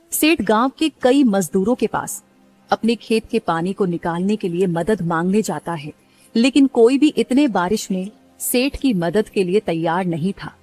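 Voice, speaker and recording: A woman narrates a story from a script. Her voice sits at a middle pitch, and music plays behind her. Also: darkish tone.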